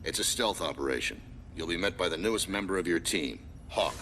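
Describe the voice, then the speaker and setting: A man playing an authoritative military commander who gives mission briefings in a firm, crisp, commanding manner. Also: deep voice, animated, a little fast, a bit bright, slight background noise. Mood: stern, informative. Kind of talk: scripted game mission briefing.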